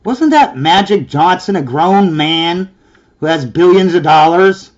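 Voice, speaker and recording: A man's voice: sits high, animated, a little slow, muffled recording, a crowd in the background. A man hosting a reaction video, ranting in a sarcastic, scornful way and mocking the clip he watches. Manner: sarcastic, scornful, mimics in a whiny voice.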